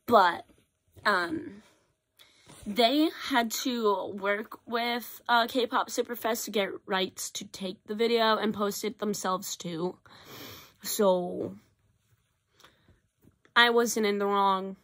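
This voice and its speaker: Hoarse teenage girl rambling on webcam. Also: medium pitch, slow, long pauses. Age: teens. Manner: rambling, self-correcting, animated at times. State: hoarse from a cough. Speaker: vlogger. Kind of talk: casual confessional vlog. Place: small bedroom, indoors. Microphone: built-in webcam or phone mic, close.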